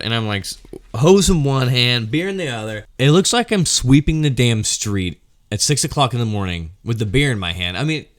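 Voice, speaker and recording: A man in casual comedic storytelling mode as a host, animated and slangy, swearing freely and acting out quotes and sound effects. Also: medium pitch.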